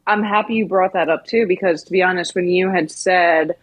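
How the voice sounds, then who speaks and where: A woman's voice: lowish voice, fairly even intonation, a little fast, no room sound, low-quality recording. A woman co-hosting a podcast, chatting thoughtfully and searching for words. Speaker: podcast co-host. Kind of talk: casual chat.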